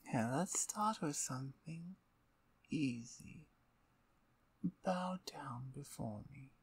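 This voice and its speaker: A woman playing a dominant, playful vampire conqueror in an ASMR roleplay, with a breathy, near-whispered voice and an imperious, taunting manner. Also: very deep voice, lively intonation, slow pace, exaggerated voice. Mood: softly dominant.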